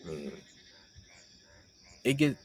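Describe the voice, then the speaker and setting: A man's voice: mid-range voice, sing-song, slow, a little hiss or hum. A young man playing a playful, teasing roommate with a hidden crush, chatting casually and sarcastically to the listener in an intimate roleplay audio. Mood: mock surprise. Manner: teasing, conversational, sarcastic banter.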